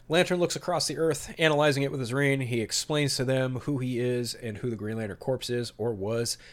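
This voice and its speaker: A man hosting a podcast, moving between reading out summaries and emphatic, opinionated commentary with some mild swearing. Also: mid-range voice. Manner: opinionated, emphatic, mildly profane. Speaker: podcast host. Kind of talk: podcast talk mixing read-out storyboard summary and casual commentary.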